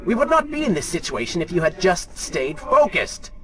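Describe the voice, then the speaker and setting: An actor playing a prince's sardonic dark alter ego in a video game, mocking, sly and insinuating. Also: male voice, high voice, big pitch swings, normal speed. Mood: scornful. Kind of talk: theatrical video game villain acting. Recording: noisy game capture with ambience.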